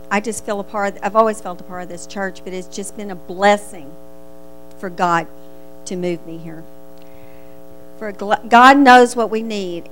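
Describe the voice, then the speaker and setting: A middle-aged woman with a Texas accent, a pastor's wife speaking in church in a halting, heartfelt way. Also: medium pitch, poor audio. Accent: Texan. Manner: halting, heartfelt, with restarts and soft laughs. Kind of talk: church testimony.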